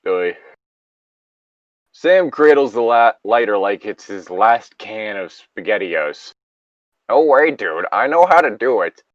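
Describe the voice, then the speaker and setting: A man's voice: on the low side, lively intonation, slow pace, no echo, lo-fi sound. A man reading a comic story casually, breaking off into jokey asides and banter. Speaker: co-reader of an internet story.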